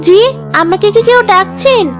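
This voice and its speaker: A woman's voice: extremely high pitch, normal speed. A woman voicing a kind, polite orphan girl in a cartoon, eager and respectful. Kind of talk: cartoon voice acting. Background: background music.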